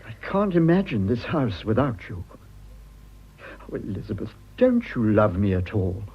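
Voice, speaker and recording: An actor playing an earnest, awkward, lovelorn husband in a British radio drama, halting and apologetic as he pleads. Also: fairly low pitch, very animated, vocal fry, breathy edge, dull audio, exaggerated voice. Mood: pleading, tender.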